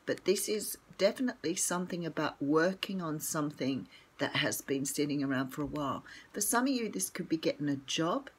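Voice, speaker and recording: An older Australian woman with a croaky morning voice, chatting casually and rambling through a tarot reading at home with lots of ums. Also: sits low, expressive, brisk, few pauses, a little hiss or hum. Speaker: tarot reader.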